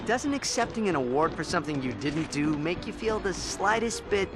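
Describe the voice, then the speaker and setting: An actor playing a plucky, sceptical young hero creature in a cartoon game, with a wry, sarcastic delivery. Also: male voice, a little high, lively intonation, moderate pace. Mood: doubtful.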